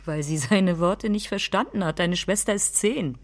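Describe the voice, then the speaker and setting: A woman's voice: medium pitch, moderate pace, lightly acted. A woman playing an indignant mother in a children's radio play, lecturing with reproachful emphasis. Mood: bitter, emphatic.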